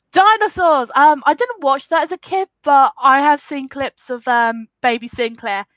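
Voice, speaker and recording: A woman's voice: sits high, a little slow, a bit bright, no room sound. A woman in her twenties with an Essex accent, sniffly from a cold, chatting casually through a live Q&A and answering comments frankly. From England. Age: 20s. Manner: chatty and rambling, frank and defiant with trolls. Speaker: YouTuber hosting a live Q&A. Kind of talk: casual live-stream chat reading comments.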